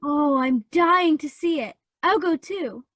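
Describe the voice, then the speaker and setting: A woman acting a spirited, petulant young girl who loves art and has a sharp tongue, in a radio play. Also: sits high, slow, dry recording, clean studio sound. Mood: pointed. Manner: petulant, sarcastic.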